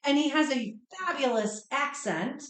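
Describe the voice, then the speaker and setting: Middle-aged woman co-host for conversational podcast, fairly high voice, informal delivery, recorded in a reverberant room. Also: measured pace, fairly bright tone. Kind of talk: casual chat. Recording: roomy, echoing room sound.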